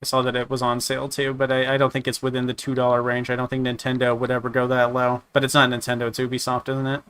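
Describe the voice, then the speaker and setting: A man with a slightly raspy voice from a sore throat, chatting casually while reviewing games, rambling with many restarts and fillers. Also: mid-range voice, monotone, fast talker, fairly bright tone. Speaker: gaming streamer. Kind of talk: casual game review chat.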